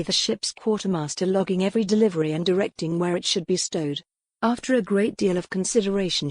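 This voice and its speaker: Low-voiced woman narrating, very dry. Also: a little mellow, dry recording. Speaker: audiobook narrator. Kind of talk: scripted romance narration. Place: dry, close recording space.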